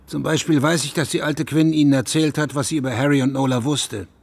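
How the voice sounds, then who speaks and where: Gravelly, blunt police sergeant. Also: male voice, medium pitch, steady tone, fairly quick. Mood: businesslike. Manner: blunt, pressing, commanding. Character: blunt, insistent police sergeant interrogating a suspect. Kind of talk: radio drama acting.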